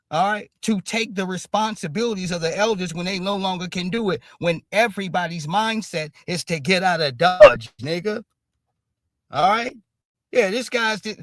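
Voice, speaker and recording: A man's voice: high voice, fairly bright tone, no room sound. A man hosting a stream, ranting in emphatic commentary and repeating "all right?" after nearly every phrase. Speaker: streamer host.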